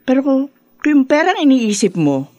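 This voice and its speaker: A woman's voice: sits high, very animated, moderate pace, warm tone, no room sound. Swooping, dramatic Filipino mother. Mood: insistent. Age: middle-aged. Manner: dramatic, exclaiming, doting. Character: proud, pushy, flamboyant unwed mother of grown son. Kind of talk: Filipino radio drama acting.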